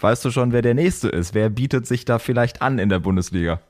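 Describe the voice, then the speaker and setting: A man's voice: mid-range voice, a little fast, darkish tone, dry recording, studio quality. A man co-hosting a podcast, interviewing in a casual, conversational style. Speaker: podcast co-host. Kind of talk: casual interviewing, fan-like commentary.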